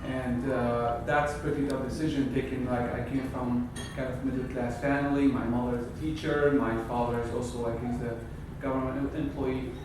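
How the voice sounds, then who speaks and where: Syrian accented guy, 30s, halting grad speech full of restarts, echoey hall, caught from far off. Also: medium pitch, level tone, noisy background. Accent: Syrian Arabic. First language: Arabic. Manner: hesitant, searching for words, many restarts. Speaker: graduating surgical resident. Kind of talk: graduation speech. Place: wood-paneled hall indoors at a podium. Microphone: far camera.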